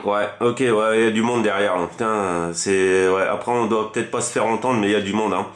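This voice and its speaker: Guy doing casual cb radio chat, deepish voice, repeats himself, echoey room. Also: steady tone, brisk. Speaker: amateur CB radio operator. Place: indoors at a fixed home radio station. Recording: roomy echo around the voice.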